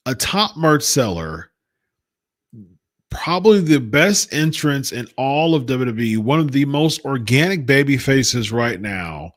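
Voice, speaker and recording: Male show host, animated delivery, dry close-mic sound, repeats himself to make a point. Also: medium pitch, dry recording. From United States. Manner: emphatic, repeats points, exasperated. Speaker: wrestling talk show host. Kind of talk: casual live-chat commentary.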